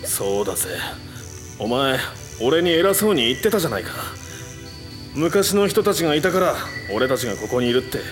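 A man's voice: medium pitch, big pitch swings, brisk, soft background music, close to an everyday voice. Man as a brash young anime comrade. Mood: reproachful encouragement. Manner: rough, casual, urging. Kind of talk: anime voice acting.

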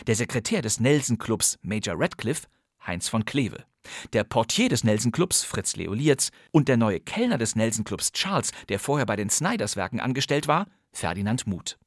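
Low-voiced, formal male radio announcer. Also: no room sound, clean studio sound. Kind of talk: scripted closing credits announcement.

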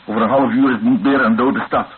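An actor playing a composed, cooperative rebel army major in a radio drama, brief and polite. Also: male voice, medium pitch, fairly even intonation, fast, phone-quality sound, close to an everyday voice. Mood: composed, matter-of-fact. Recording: noisy band-limited old radio recording.

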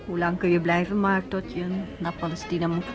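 A woman's voice: lowish voice, flat delivery, brisk, over music, lightly acted. An actress in her late twenties playing a reserved, guarded nurse in a radio play. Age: late 20s. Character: guarded young widowed nurse.

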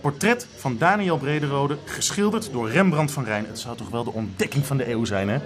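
An actor playing an excitable, ambitious art expert in a naturalistic radio drama, enthusiastic and persuasive. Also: male voice, mid-range voice, brisk, music in the background, natural voice.

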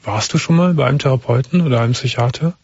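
A man hosting a late-night radio call-in show, calmly asking questions and giving advice. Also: mid-range voice, quick pace, slight background noise. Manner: calm, probing, interrupts to clarify. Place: radio studio. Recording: dull broadcast radio sound.

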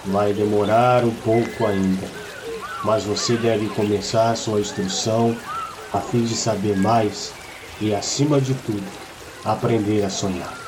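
A man with a Brazilian accent voicing a solemn, wise village shaman in an audio story, speaking in a measured, grave, instructive way. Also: lowish voice, fairly even intonation, background noise, natural voice. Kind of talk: scripted audio story acting.

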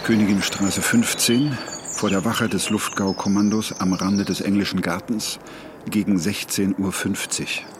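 An actor playing a sober witness who gives a dry, factual statement in a documentary drama. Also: male voice, fairly low pitch, steady tone, unhurried, lightly acted. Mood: matter-of-fact. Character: sober male witness giving an official police-style statement. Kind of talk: dramatized reading of a report. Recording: clear background noise.

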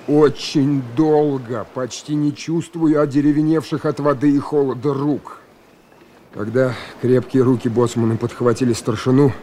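An actor playing a brave, earnest young submarine lieutenant who narrates and speaks in a radio drama. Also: male voice, medium pitch, warm tone, slight background noise, close to an everyday voice. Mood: weary, reflective.

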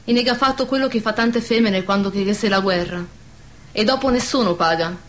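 A woman's voice: medium pitch, brisk, lightly acted. Actress as sombre, motherly village woman. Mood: sorrowful, bitter. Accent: southern Lazio Italian dialect. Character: steadfast motherly village woman, sorrowful, protective, stern. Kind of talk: radio drama acting.

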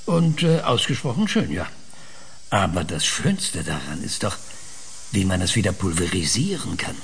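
An actor playing a sardonic, embittered scientist in a radio play, with a husky, raspy voice and a hesitant, halting delivery. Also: male voice, sits low, very animated, exaggerated voice. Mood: probing, excited. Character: sardonic, embittered male scientist, last of his kind.

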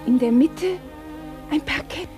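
An actress in a radio play voicing an anxious, deferential female companion, breathy and hesitant. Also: sits high, takes it slowly, music in the background. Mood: hesitant, hushed. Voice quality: breathy, often near whispering. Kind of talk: German radio play acting.

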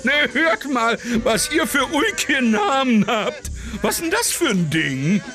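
Pompous, high-pitched Prussian colonel. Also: male voice, big pitch swings, slow pace, bright, forceful tone, soft background music, full character voice. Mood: amused. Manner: pompous, exclamatory. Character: blustering, jovial, condescending Prussian colonel, middle-aged man. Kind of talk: satirical radio play acting.

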